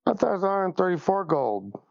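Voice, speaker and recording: A man's voice: sits high, very animated, a little fast, vocal fry, a little mellow, dry recording. A man bantering casually and in character as a player in a tabletop game, on a dull, muffled microphone.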